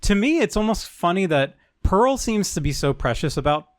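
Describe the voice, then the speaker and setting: A man's voice: sits high, sing-song, normal speed, no echo, studio quality. A young adult man co-hosting a casual podcast, rambling loosely and swearing offhandedly. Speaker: podcast co-host. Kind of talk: casual chat.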